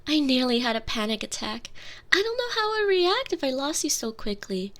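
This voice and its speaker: Woman acting a high-voiced, lovelorn young princess. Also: sing-song, normal speed. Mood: playful, fond. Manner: soft, tender, playful, breaking into whispers. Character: sweet lovelorn young princess, wry, trapped in betrothal. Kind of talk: intimate ASMR roleplay acting.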